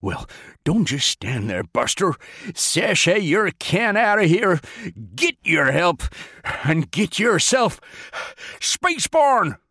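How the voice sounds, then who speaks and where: A man voicing a bellowing, blustering retired American major general in a comic story, with a gravelly roar, an American accent and bossy, folksy exclamations. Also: sits high, very animated, slow pace, sharp tone, no echo, studio quality, pitched above the performer's own voice, heavily acted. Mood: bossy, commanding. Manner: folksy old-fashioned exclamations, exasperated, bossy drawl.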